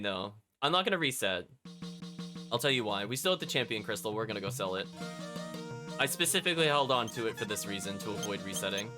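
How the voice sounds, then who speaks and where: A man giving casual live commentary as a video game streamer, thinking aloud and chatting to his viewers. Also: mid-range voice. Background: game music.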